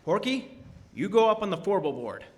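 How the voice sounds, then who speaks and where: Scornful rig boss, needling, very animated pitch, radio drama delivery. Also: male voice, normal speed. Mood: impatient, scornful. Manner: bossy, needling. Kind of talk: old-time radio drama acting.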